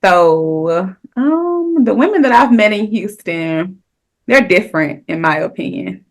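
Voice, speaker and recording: A woman's voice: mid-range voice, expressive, a little slow. A woman from Louisiana on a podcast panel, thinking aloud and hedging her answers. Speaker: podcast guest. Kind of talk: casual panel chat.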